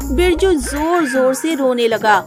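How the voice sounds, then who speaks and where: A woman reading scripted narration for an animated story. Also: high-pitched, slow, music in the background.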